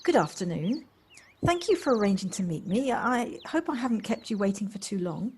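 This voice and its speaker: A woman's voice: mid-range voice, big pitch swings, moderate pace, a little mellow, natural voice. An actress playing a polite, wistful city woman in an audio play, reflective and a little hesitant. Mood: polite, grateful. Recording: home device recording, light background noise.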